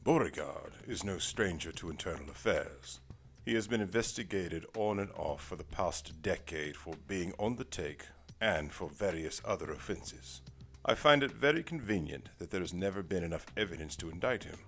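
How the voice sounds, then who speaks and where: Deep-voiced, formal older man acting as an investigator. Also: lively intonation, normal speed, vocal fry, fairly bright tone, heavily acted. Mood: measured, businesslike. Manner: formal, precise, wordy. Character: formal, bearded older male private investigator, dry and weary. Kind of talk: audio drama acting. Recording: music behind the voice.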